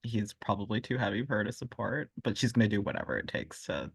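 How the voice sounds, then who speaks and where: A man's voice: lowish voice, lively intonation, fast talker, husky, dry recording, studio quality. A man playing a tabletop roleplaying game, casually describing what his character does.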